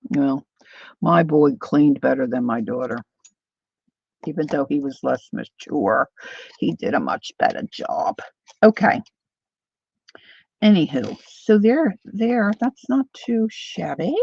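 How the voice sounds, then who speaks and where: An older woman chatting casually to viewers while she crafts, thinking out loud as she works. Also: low voice, sing-song, takes it slowly, creaky, pauses often, slightly rough, dry recording. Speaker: craft livestreamer. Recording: dull lo-fi dry sound.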